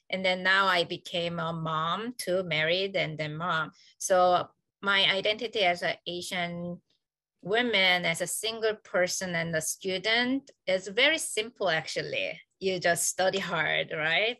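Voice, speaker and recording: A woman from South Korea with a Korean accent, speaking on a video call. Her voice is bright and a little low, and the sound is close and dry. She talks earnestly and reflectively, pausing on fillers. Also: dry recording. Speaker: associate professor, panelist. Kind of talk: panel discussion on video call.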